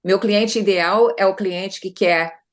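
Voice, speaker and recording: A woman's voice: medium pitch, steady tone, slow pace. A middle-aged Brazilian woman consultant speaking conversationally in an interview, often adding tag questions and restarting her sentences. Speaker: consultant guest.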